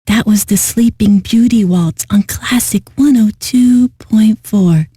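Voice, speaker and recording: An actress playing a refined, eccentric classical radio host in a video game, speaking in a pompous, theatrical way that savors each phrase. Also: female voice, mid-range voice, slow pace, warm tone, breathy edge, no room sound. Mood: poised, refined.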